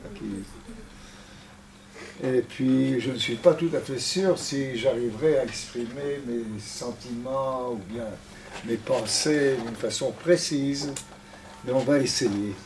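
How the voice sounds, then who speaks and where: Older man guest speaker, french not his first language, slow deliberate delivery, faint room hum. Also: medium pitch, darkish tone, low-quality recording. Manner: halting, precise, with frequent pauses. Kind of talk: lecture to an audience.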